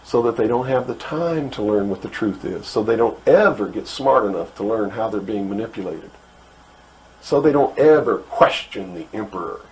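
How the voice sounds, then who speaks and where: A middle-aged American man giving an impassioned lecture, emphatic and full of rhetorical questions and deliberate repetition. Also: fairly low pitch, expressive, darkish tone. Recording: noisy old talk recording.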